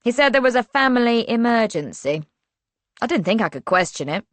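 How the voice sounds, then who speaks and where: A woman's voice: on the high side, big pitch swings, a little fast, fairly bright tone, dry recording, pitched above the performer's own voice. A woman voicing a female office administrator who is wry, gossipy and a little defensive.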